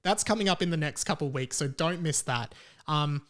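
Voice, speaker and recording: A man's voice: a little high, normal speed, a bit bright. An Australian man hosting a podcast, talking casually on his own in a rambling, opinionated, sweary way. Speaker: podcast host. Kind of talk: casual solo podcast chat.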